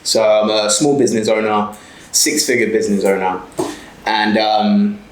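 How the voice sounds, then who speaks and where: A man's voice: on the low side, flat delivery, normal speed, roomy sound, slight background noise, low-quality recording. A man in his twenties with a South London accent, giving a blunt, sweary motivational talk straight to camera. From South London, England. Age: 20s. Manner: blunt, heavily sweary, repeats "okay?". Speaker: small business owner and mentor.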